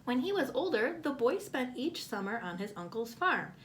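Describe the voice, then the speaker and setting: A woman reading a picture book aloud to children, expressively and with deliberate phrasing, as a storyteller. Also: sits high, big pitch swings, a bit bright, a little hiss or hum.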